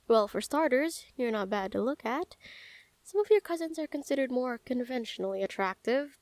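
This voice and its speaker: Girl acting as a smitten lady assassin, high voice, really dry close sound, teasing but kinda dangerous, audio roleplay. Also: no echo. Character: lovestruck female noble-house assassin, calm, menacing yet smitten. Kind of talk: intimate scripted audio roleplay addressed to the listener.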